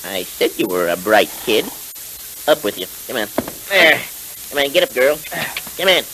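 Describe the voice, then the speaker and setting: An actor playing a coldly self-righteous masked rider in a 1930s radio western serial, with formal, taunting speech on a hissy old recording. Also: male voice, mid-range voice, very animated, moderate pace, sharp tone, rough recording. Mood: smug, commanding.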